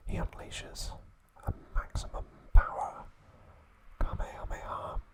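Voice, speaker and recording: A man narrating trivia as an ASMR host, hushed and deliberate, in short separated phrases.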